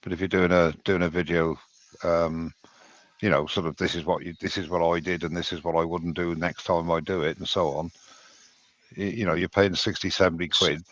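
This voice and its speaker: A man's voice: low voice, flat delivery, moderate pace, a bit bright, muffled recording. An older man from Wales with a light Welsh accent, chatting casually and rambling a little as co-host of a woodturning live stream. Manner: chatty, rambling, laughs often. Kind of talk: casual chat.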